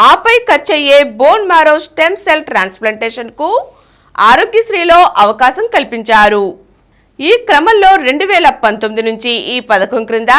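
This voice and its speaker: A woman reads the daily news in a high voice that rises and falls a great deal. Her delivery is formal and steady, item after item. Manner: steady formal newsreading cadence. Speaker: news reader. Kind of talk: read news bulletin.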